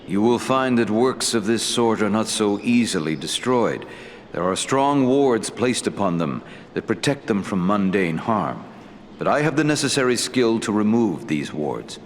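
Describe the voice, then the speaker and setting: A man playing a wise, ancient elf lord in a fantasy game, speaking gravely in formal, deliberate phrasing. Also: lowish voice, big pitch swings, a little hiss or hum. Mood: measured, reassuring. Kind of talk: fantasy game dialogue acting. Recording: long hall reverb, faint music.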